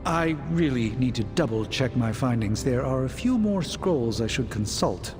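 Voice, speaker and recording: A man playing an anxious occult researcher in a video game cutscene, speaking formally and gravely with hesitant pauses. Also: medium pitch, animated, close to an everyday voice. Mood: hesitant, troubled. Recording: long reverb, music underneath.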